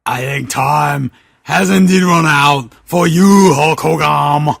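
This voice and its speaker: A man puts on a vengeful undead giant from a wrestling fan fiction. He speaks slowly and ominously, with a husky, creaky voice. The reading is theatrical and mock-serious. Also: on the high side, a bit bright, no echo, clean studio sound, heavily acted. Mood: menacing.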